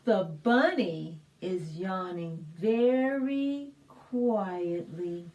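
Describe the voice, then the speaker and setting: A woman reading a picture book aloud to young children in an expressive sing-song manner, asking the listeners questions, recorded at a distance from the microphone. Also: on the low side, extremely slow, highs cut off. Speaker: children's library storytime reader.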